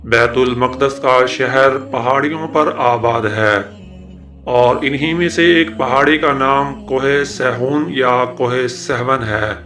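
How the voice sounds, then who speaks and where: A man giving a scripted religious history narration in a formal, measured manner with ornate literary phrasing. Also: mid-range voice, a bit bright. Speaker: narrator and presenter of a religious history video series. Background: background music.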